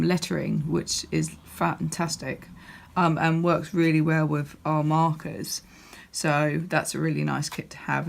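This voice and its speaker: A woman with a croaky voice, chatting casually as she walks through a crafting catalogue, with lots of ums and restarts. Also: deep voice. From United Kingdom. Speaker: crafting demonstrator. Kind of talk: casual product walkthrough.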